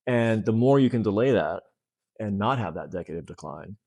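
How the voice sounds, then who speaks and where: Deep-voiced man in his late fifties. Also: animated, normal speed, gravelly, darkish tone, no room sound, clean studio sound. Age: late 50s. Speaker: fitness center owner, interview guest. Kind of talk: casual conversational interview.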